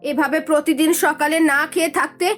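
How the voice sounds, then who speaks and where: A woman voicing a nagging, sharp-tongued village housewife in a cartoon story, complaining and sarcastic. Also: high voice, fairly even intonation, brisk, faint music behind. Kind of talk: Bengali cartoon story voice acting.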